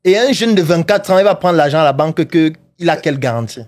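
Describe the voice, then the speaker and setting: A man's voice: a little high, animated, quick pace, darkish tone, no room sound. A man debating on a podcast, lecturing emphatically and firing rhetorical questions. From Africa. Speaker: podcast guest, entrepreneurship coach. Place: studio podcast set.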